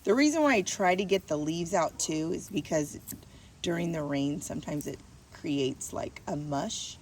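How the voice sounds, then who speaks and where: A woman's voice: low-pitched, normal speed. A woman hosting a gardening vlog, chatting casually and narrating her hands-on work as she goes, in a rambling way. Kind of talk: casual chat while working. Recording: distant mic, noisy background.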